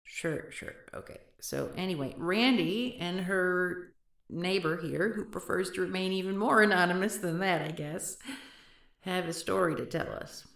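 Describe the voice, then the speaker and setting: A woman's voice: fairly low pitch, unhurried, vocal fry, a bit bright, no echo. A woman voicing a self-assured, teasing former professor in an audio roleplay, dry and in charge. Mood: wry. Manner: dry, teasing, takes charge, swears casually. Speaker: historical society leader.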